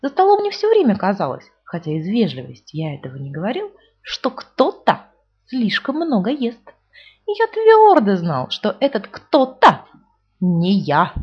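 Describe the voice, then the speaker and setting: A woman's voice: medium pitch, big pitch swings, normal speed, slightly rough. A woman playing a prim, stern rabbit. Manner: polite but stern. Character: prim, clever male rabbit host. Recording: dull lo-fi recording.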